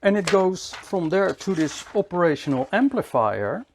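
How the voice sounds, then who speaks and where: A man giving a hands-on electronics repair tutorial in a measured, step-by-step way, often repeating short phrases. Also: high-pitched, very animated, a little hiss or hum.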